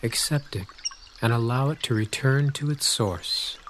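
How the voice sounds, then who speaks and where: Calm male meditation guide over birdsong. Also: medium pitch. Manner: calm, unhurried, spacing phrases with long pauses. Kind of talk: guided meditation, scripted narration. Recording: clear nature-sound noise under voice. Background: birdsong and pouring water.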